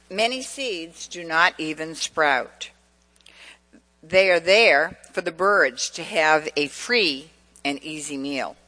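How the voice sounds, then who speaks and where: Woman preacher, Massachusetts accent, bright, long church reverb. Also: on the low side. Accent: central Massachusetts. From New England. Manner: asks the congregation questions, personal anecdotes. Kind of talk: sermon.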